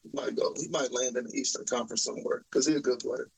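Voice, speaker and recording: A man from Oklahoma co-hosting a casual sports talk show, easygoing and halting, often repeating his words. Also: medium pitch, steady tone, darkish tone, slight background noise, rough recording. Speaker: podcast co-host.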